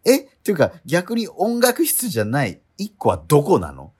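Incredulous schoolboy straight man, swooping pitch. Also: wildly swooping pitch, darkish tone, husky, exaggerated voice. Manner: incredulous, quibbling, rapid retorts. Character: exasperated male schoolmate, the straight man of a comedy duo. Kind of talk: comedic radio drama dialogue.

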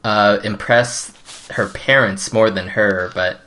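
Laid-back young man, fairly low voice. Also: steady tone, slow, bright, forceful tone, low-quality recording. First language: Cantonese. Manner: laid-back, filler-heavy, hedging. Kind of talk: casual chat.

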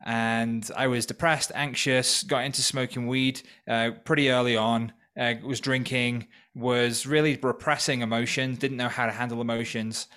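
A man's voice: medium pitch, steady tone, normal speed, hardly any pauses, sharp tone, smooth. Give me a reflective man from North Wales in his thirties, speaking openly as a podcast guest. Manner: earnest, reflective, open about hard past. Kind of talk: personal story in a podcast interview. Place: temporary remote location.

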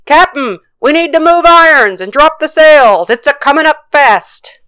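A woman voicing an urgent first mate on a storybook pirate ship, yelling warnings to the captain. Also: sits high, expressive, a little slow, no echo, pitched above the performer's own voice, full character voice. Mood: urgent alarm.

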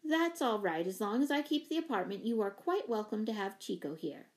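A woman voicing a sensible, kindly mother in a children's storybook, serious, measured and firm. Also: mid-range voice, expressive, darkish tone, lightly acted. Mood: firm, reassuring.

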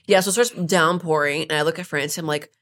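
Fast-talking raspy woman with a cold. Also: deep voice. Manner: rambling, animated storytelling with many fillers. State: hoarse from a cold. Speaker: podcast co-host. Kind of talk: casual chat.

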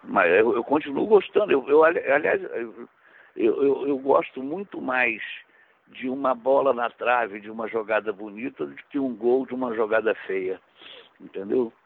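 A man with a rough, gravelly voice tells stories in a loose, rambling way, starting over often and swearing casually. His voice comes through a thin, phone-like radio broadcast. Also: medium pitch, normal speed. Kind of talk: casual storytelling chat.

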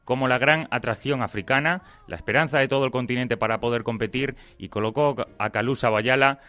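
Bright-voiced radio sports co-host. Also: male voice, mid-range voice, brisk. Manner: explanatory, asks long questions.

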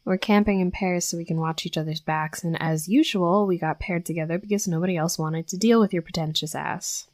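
A woman's voice: on the low side, fairly even intonation, a little fast, few pauses, a little mellow, a little hiss or hum, natural voice. A young woman playing a brash, sweary tsundere werewolf bully who scolds with grudging protectiveness, in a scripted audio roleplay. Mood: irritated, mocking. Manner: scolding, sarcastic, sweary, exasperated. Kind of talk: scripted first-person roleplay audio acting.

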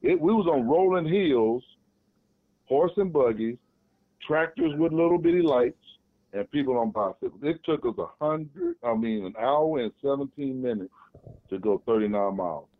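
A man's voice: mid-range voice, moderate pace, dry recording. Man on a tinny phone line. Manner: animated storytelling. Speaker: podcast co-host. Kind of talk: casual chat.

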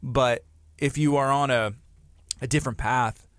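A man's voice: medium pitch, fairly even intonation, unhurried, fairly bright tone, no room sound. A man hosting a casual podcast chat, joking and teasing his co-hosts.

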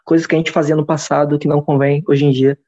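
A man's voice: a little high, little pitch movement, quick pace, mellow. A young man in his twenties with a Brazilian accent, musing in casual podcast chat, rambling and restarting as he goes. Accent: Brazilian Portuguese. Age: 20s. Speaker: podcast host. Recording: dull lo-fi sound.

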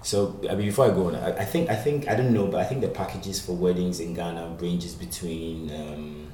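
A man's voice: low-pitched, steady tone, fairly quick, mellow, echoey room, a little hiss or hum. A man hosting a casual podcast interview, prompting his guests with short replies and laughing easily. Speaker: podcast host.

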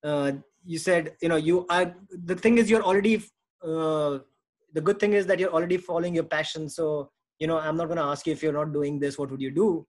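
A man's voice: a little high, steady tone, fairly quick. A man with an Indian accent hosting a casual interview in a chatty, informal way. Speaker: podcast host.

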